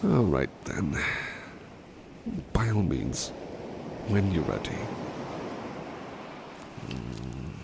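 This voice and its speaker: A man playing a devoted, polite butler in a soft-spoken ASMR roleplay, gentle and soothing, often dropping into a murmur or whisper. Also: a bass voice, big pitch swings, drawn-out words, creaky, long pauses, heavy background noise, exaggerated voice. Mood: polite, bashful, then relaxed, blissful. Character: devoted, tender, polite male butler servant.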